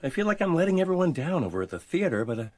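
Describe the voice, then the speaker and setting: An actor playing a laid-up, regretful stagehand in a radio mystery, speaking in a casual, downbeat and slightly hesitant way. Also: male voice, fairly high pitch, very animated, rapid-fire. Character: laid-up, regretful male stagehand and hobby sculptor. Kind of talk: radio mystery drama acting.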